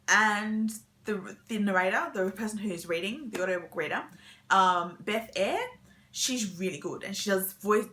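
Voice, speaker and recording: Woman book vlogger, young adult, medium-pitched and bright, chatty and meandering delivery. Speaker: book vlogger sharing weekend reading plans. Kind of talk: casual rambling chat to camera.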